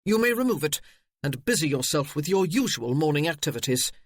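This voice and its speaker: A cold, haughty colonel, as the narrator plays him, commanding and clipped, near the reader's own pitch. Dry, close audiobook sound. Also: sing-song, a little fast, breathy edge, dry recording.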